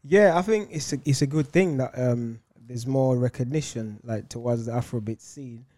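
A man's voice: mid-range voice, normal speed, mellow. A young man from Zambia, a musician guesting on a podcast, talking in a laid-back, slangy way with lots of fillers. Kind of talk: casual chat.

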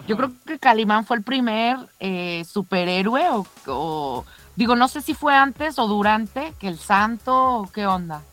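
A woman's voice: mid-range voice, expressive, measured pace, hardly any pauses, fairly bright tone. Mexican lady on a radio show, chatty and into it, medium voice, bit of music behind. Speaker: radio co-host. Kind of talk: casual enthusiastic chat. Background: background music.

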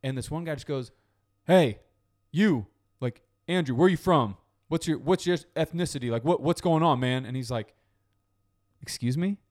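A man's voice: a little high, normal speed, a little mellow. A man in casual podcast chat, telling a long story with lots of fillers and restarts. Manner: rambling storytelling with many fillers.

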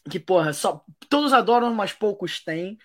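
A man's voice: sits high, animated, normal speed, fairly bright tone. Playful slangy man, podcast co-host. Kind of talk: casual football chat.

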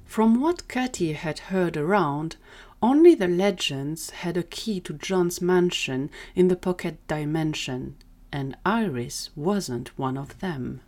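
A woman's voice: lowish voice, expressive, takes it slowly, darkish tone. A woman narrating a scripted audio drama story as the storyteller.

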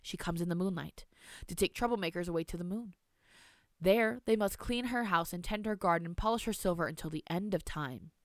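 A woman's voice: on the low side, a little fast, no room sound, clean studio sound, lightly acted. A woman voicing a stern old grandmother.

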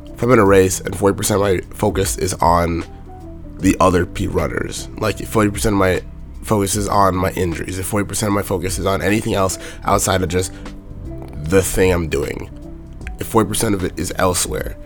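Young male motivational host, very low voice, raspy and hoarse, flat delivery, faint music underneath. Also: brisk. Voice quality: raspy, monotone. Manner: plain-spoken, repeats his point over and over. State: hoarse from a sore throat. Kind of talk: casual motivational monologue.

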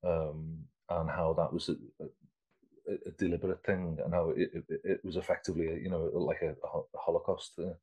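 A man's voice: low-pitched, little pitch movement, normal speed, warm tone, breathy edge. A man in his fifties, an artist being interviewed, talking casually and rambling with many restarts. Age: 50s. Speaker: artist guest.

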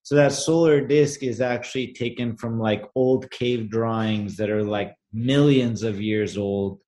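A man from Los Angeles speaks in an earnest, reflective tone with a medium-pitched voice. He muses on nature and the spiritual in a relaxed conversation. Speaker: guest, brand co-founder. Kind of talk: casual conversation.

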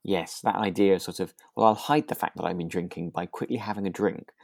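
A man's voice: low-pitched, fairly even intonation, fairly quick, vocal fry, no echo, studio quality. A man co-hosting a podcast in a casual style, tentatively thinking aloud as he talks. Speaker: podcast co-host. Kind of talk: relaxed casual discussion of a radio drama.

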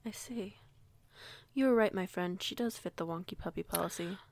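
A low female voice with heavy fry, recorded close and dry, gives us a werewolf pack leader, acted by a woman in an audio roleplay. She is composed and kindly, with formal, measured authority. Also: a little mellow, no room sound. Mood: composed, amused.

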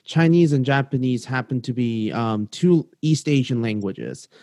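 A man's voice: mid-range voice, animated, warm tone, no echo. Man from South Korea with a dry-sounding voice. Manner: halting, frequent ums and self-corrections. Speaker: podcast host. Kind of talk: casual interview questions.

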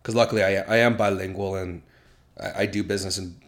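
A young man chatting casually as a podcast guest, relaxed and halting, with many fillers and restarts. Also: low voice. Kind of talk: casual chat.

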